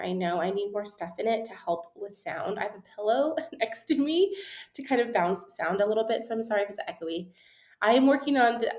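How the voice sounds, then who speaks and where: A woman in her thirties hosting a solo business podcast, chatty and upbeat, sounding slightly nasal from a cold, in an echoey office. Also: fairly high pitch. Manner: chatty, upbeat, frequent ums and little laughs. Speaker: podcast host and business coach. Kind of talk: casual solo podcast talk. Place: her new home office, echoey room. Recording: echoey room.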